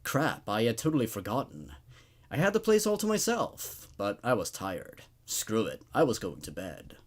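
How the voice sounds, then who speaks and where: A man's voice: on the low side, wildly swooping pitch, normal speed. A man hosting a show where he reads horror stories aloud with dramatic narration and laid-back commentary.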